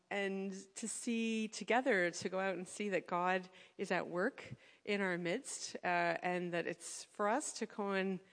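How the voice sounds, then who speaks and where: A woman with a low, gravelly voice, speaking hesitantly and thoughtfully as she leads a sharing time at church. Her voice carries a long echo from the hall around her. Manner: hesitant, thoughtful, many fillers. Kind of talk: casual congregational talk.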